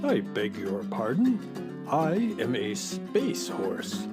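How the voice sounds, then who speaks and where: An actor playing a proud, deadpan, slightly huffy talking space horse in a children's radio play. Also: mid-range voice, takes it slowly, over loud music.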